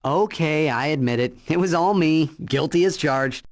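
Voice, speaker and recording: An actor playing a wisecracking young superhero in a video game, with sarcastic, flippant delivery. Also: male voice, a little high, moderate pace, no room sound, studio quality, close to an everyday voice. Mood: wry.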